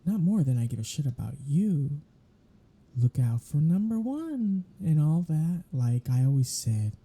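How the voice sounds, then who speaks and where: A performer voicing a starving captive vampire in an intimate ASMR roleplay, with a breathy, husky voice and a wry, halting delivery. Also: medium pitch, very animated, warm tone, heavily acted. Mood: grim, resigned. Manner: wry teasing, halting, slipping into pleading. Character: captive starving vampire, sardonic, flirtatious, desperate.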